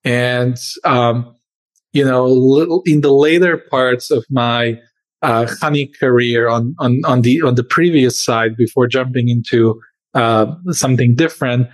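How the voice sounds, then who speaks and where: Earnest founder from Serbia on a podcast. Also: male voice, medium pitch, unhurried. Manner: earnest, many fillers and restarts, pace shifts. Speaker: guest, company founder. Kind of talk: interview answers.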